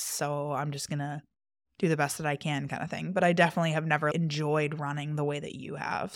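A co-host in a casual chat reflects aloud at a mid pitch, speaking conversationally with plenty of fillers. The recording is dry and close, with no room sound. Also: a bit bright, clear, resonant tone, no room sound. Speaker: podcast co-host.

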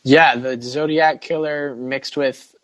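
A man's voice: medium pitch, fairly even intonation, slow, a bit bright, poor audio. A man co-hosting a casual sports podcast, joking and steering the banter playfully. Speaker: podcast co-host.

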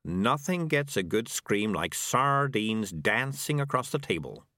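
A smug talking cat from a storybook, played by a male reader, always justifying himself with dry wit. The pitch swings wildly around a medium male register, and the sound is dry and close. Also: very animated, measured pace, bright, forceful tone, no room sound. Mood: indignant, wry. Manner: self-justifying, dryly wry.

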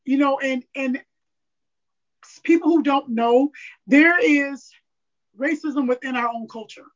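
A woman guest in a casual interview, raspy and candid, rambling openly about herself. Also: sits high, measured pace. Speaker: podcast guest. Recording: dull lo-fi audio.

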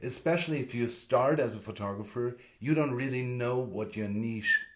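A man's voice: medium pitch, normal speed. A man, a photographer guest, chatting casually and self-deprecatingly in an interview. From Germany and Austria.

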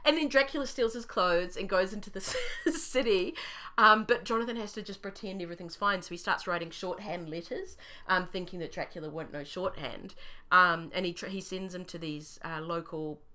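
A woman with a New Zealand accent and a croaky voice, co-hosting a podcast and telling a story in an animated, laughing way. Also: lowish voice, brisk. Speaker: podcast co-host. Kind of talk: casual chat.

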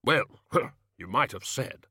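A man's voice: medium pitch, expressive, slow, sharp tone, no echo, clean studio sound, voice pushed up, exaggerated voice. A man voicing a fussy, pedantic male wizard scholar who speaks precisely as if lecturing, in a storybook reading.

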